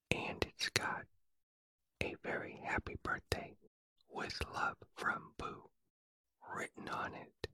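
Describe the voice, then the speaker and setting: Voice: no echo, full character voice. A reader whispering the lines of a kind, cheerful bear in a storybook. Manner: soft whisper. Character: cheerful honey-loving bear, simple and kind.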